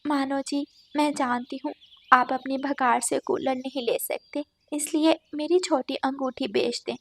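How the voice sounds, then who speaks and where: Woman playing a gentle high-voiced mother sparrow. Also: fairly even intonation, measured pace, creaky. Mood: pleading.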